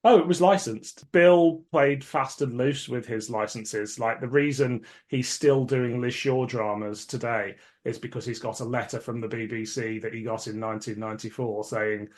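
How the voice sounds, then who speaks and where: A man's voice: mid-range voice, steady tone, normal speed, few pauses, a little mellow. A man hosting a podcast in casual chat, informal and opinionated, swearing casually as he talks. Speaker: podcast host. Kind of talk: casual chat about cult sci-fi dramas.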